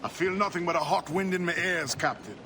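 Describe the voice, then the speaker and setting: Casting: skeptical, dutiful ship's mate, raised pitch, dry delivery, pirate game cutscene. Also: male voice, a little fast, background noise. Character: sober, skeptical male pirate first mate. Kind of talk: video game cutscene acting.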